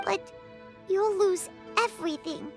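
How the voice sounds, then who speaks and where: A woman voicing a small, anxious, loyal fairy companion in a video game, squeaky and childlike, exclaiming and pleading. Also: measured pace, music in the background. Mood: distressed.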